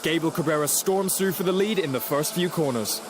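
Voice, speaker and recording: A man's voice: fairly high pitch, heavy background noise. A man narrating a motorsport series in a polished, scripted voice-over. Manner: measured, polished announcing. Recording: voice-over with loud music bed.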